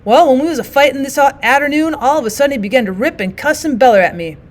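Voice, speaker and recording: Lady putting on a wounded old-timey soldier, rambling folksy talk, words slurring, a bit higher and louder than her usual read. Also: quick pace, close to an everyday voice.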